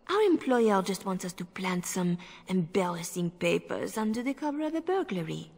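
Breathy, seductive spymistress. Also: female voice, mid-range voice, no echo. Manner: teasing, possessive, silky. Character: seductive, commanding female spymaster and mentor. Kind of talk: video game voice acting.

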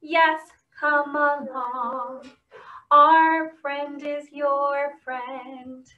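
A woman voicing a welcoming picture-book dog with slow, gently sung lines. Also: high voice, steady tone, soft background music, voice pushed up. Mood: welcoming, singing. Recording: distant, muffled home webcam recording.

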